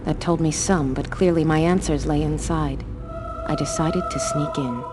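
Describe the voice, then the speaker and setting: An actress playing a resolute, dutiful keeper in a fantasy video game, with formal, earnest and dramatic delivery. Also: female voice, sits low, steady tone, normal speed, over loud music, close to an everyday voice. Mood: grave, reflective. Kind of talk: scripted game narration and dialogue.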